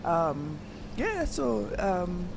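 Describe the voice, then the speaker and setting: A woman's voice: lowish voice, very animated, very slow, creaky, a loud crowd. Hesitant woman on a podcast. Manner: hesitant, many fillers. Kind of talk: casual chat.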